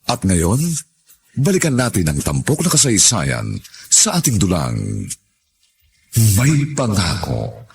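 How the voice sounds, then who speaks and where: A Filipino man announcing a radio drama in a low, husky voice. His delivery is theatrical and swings widely in pitch. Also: huge pitch swings, takes it slowly, pauses often.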